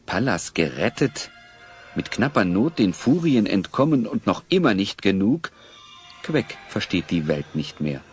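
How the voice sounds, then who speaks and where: Low voiced guy narrating a story, very animated, faint music underneath. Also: measured pace. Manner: dramatic storyteller. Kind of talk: scripted audio drama narration.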